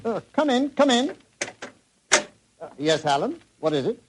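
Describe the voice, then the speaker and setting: An actor playing an affable, hesitant older professor in a vintage radio drama. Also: male voice, very high voice, sing-song, unhurried, exaggerated voice. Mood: affable, eager. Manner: hesitant, stammering, avuncular. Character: affable older English professor, eager gentlemanly host. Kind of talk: 1940s radio acting. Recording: noisy old radio recording.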